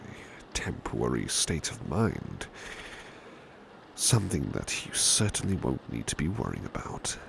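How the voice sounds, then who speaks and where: A very deep, heavily creaky British gentleman butler acted by a man murmurs in a slow, soft ASMR style. He is courteous and protective, with old-fashioned formal phrasing that drifts into whispers. Steady rain noise sits behind the voice. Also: full character voice. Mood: kindly, reassuring. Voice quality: very deep, gravelly, breathy whispery edge. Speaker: ASMR roleplay performer. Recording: clear background noise, ambient rain sound. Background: rain ambience.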